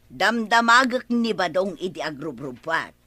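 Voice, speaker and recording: Bright, lively Ilocano village woman. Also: lowish voice, a little slow, no room sound, exaggerated voice. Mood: brisk, annoyed. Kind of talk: radio comedy drama acting.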